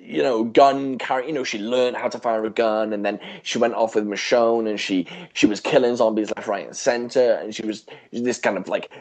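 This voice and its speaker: Low-voiced man, halting podcast talker. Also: steady tone, darkish tone. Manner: hesitant, halting, rephrasing as he goes. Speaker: podcast co-host. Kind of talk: casual podcast discussion of a TV show.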